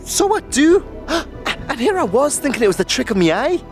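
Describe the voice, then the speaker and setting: A woman's voice: high voice, sing-song, normal speed, soft background music. Brash, sneering young street woman. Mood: mocking. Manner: sneering, sarcastic, slangy street talk. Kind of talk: fantasy audio drama acting.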